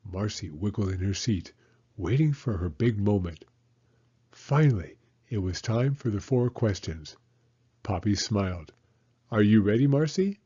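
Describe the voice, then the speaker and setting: A man narrating a children's storybook aloud in an animated read-aloud style. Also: on the low side, measured pace, husky, lo-fi sound.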